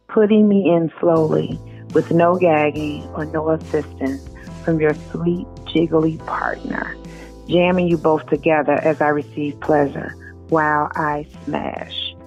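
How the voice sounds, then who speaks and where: A woman's voice: low-pitched, steady tone, measured pace, darkish tone. A woman author speaking informally as a guest, recorded in dull lo-fi audio with music behind her. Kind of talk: reading aloud and informal interview talk.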